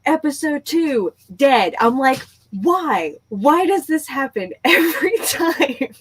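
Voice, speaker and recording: A woman hosting a casual group book chat, speaking in an animated, emphatic way with exasperated storytelling. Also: high-pitched, slow pace, crowd noise. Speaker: book discussion host. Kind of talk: casual group chat about books and shows.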